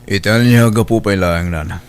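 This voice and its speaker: A man's voice: fairly low pitch, brisk, clean tone, natural voice. Man acting sullen grown Ilocano son. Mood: sullen, resigned. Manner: sullen, dismissive. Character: bitter, stubborn grown son, weary and defeated. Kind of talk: radio drama acting.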